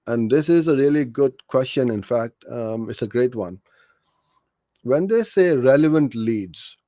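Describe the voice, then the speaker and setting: A man's voice: medium pitch, dark tone, dry recording. A man coaching in an explanatory, off-the-cuff way, talking through practical advice with examples. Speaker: digital marketing coach answering a question.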